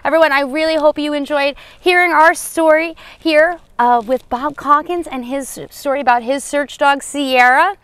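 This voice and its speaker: A woman's voice: high voice, steady tone, normal speed, hardly any pauses, a bit bright, no echo. A young woman hosting a children's reading programme outdoors, talking directly to young viewers. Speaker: costumed host of children's reading series. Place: outdoors on a grassy lawn by trees.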